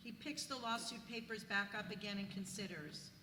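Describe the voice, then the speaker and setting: A woman's voice: mid-range voice, moderate pace, bright, forceful tone. A woman reading stage directions as scripted narration at a live play reading. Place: live reading in a church venue. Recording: echoey hall, clear background noise.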